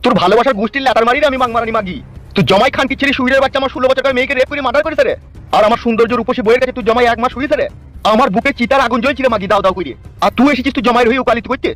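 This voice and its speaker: Foul-mouthed avenger husband for cartoon male performer, raised pitch, rapid-fire, music bed. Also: fairly bright tone. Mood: enraged. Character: vengeful, foul-mouthed, hard-hearted wronged husband in a cartoon. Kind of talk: amateur Bengali cartoon melodrama. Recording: music under the voice.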